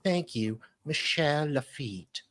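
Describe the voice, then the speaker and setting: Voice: mid-range voice, lively intonation, slow, a bit bright, dry recording. Wry panellist reading emails aloud. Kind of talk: reading documents aloud with joking asides.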